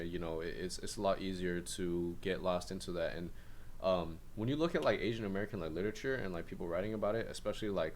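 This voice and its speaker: A young man from San Jose, a hip-hop artist guesting on a podcast, speaking in a thoughtful, measured way full of "like" and "you know". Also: deep voice, a little hiss or hum. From San Jose, California. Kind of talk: casual conversation.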